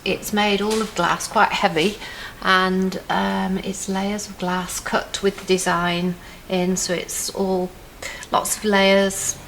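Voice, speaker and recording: A woman explains something informally and haltingly. She talks slowly, with little movement in pitch, over faint background noise. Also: little pitch movement, gravelly, a bit bright, poor audio.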